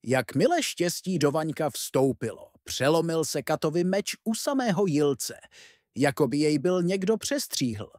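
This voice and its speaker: A man's voice: fairly high pitch, expressive, darkish tone, dry recording, studio quality. A man narrating a fairy tale as a scripted storyteller.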